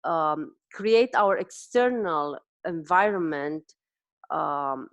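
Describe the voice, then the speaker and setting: Interview host: woman, 40s, Romanian accent, lower-pitched voice, thoughtful and halting delivery, dry recording. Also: animated, no room sound. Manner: thoughtful, searching for words with frequent restarts. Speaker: host, dietitian. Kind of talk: conversational interview.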